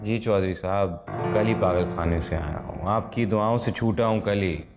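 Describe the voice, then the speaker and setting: An actor playing a sardonic, world-weary writer in a television period drama, with dry, courteous irony. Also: male voice, low voice, moderate pace, over music. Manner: dry, ironic, courteous sarcasm. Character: sardonic, world-weary male Urdu short-story writer.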